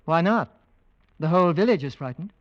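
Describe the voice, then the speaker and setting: A male actor as a skeptical investigator with a fairly high voice. He is inquisitive and probing, in an old radio play with muffled sound. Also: expressive, brisk, no room sound, natural voice. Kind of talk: 1940s radio drama acting. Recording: dull vintage radio recording.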